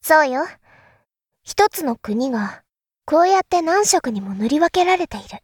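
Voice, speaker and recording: A woman playing a calm, enigmatic elder sister in an audio drama, speaking in a deliberate, philosophical, teacherly way. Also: high voice, dry recording, studio quality. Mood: calm explaining. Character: calm, enigmatic elder sister musing darkly about world affairs. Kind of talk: Japanese audio drama acting.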